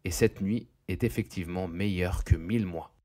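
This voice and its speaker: A man narrating a scripted educational quiz, reading questions and answers in a deliberate, didactic way. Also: sits low, warm tone.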